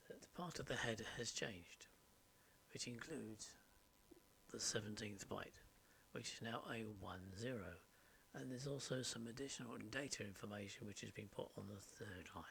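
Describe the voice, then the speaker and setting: A man narrating an informal software tutorial, explaining step by step in short, halting phrases. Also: on the low side, gravelly, low-quality recording. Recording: steady background noise, mouse clicks. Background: faint noise and clicking.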